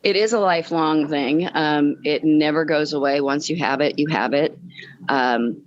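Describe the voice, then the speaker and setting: A woman's voice: deep voice, moderate pace, muffled recording, slight background noise. A woman guest telling her personal story in a casual, upbeat way during a podcast interview. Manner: upbeat, chuckles as she talks.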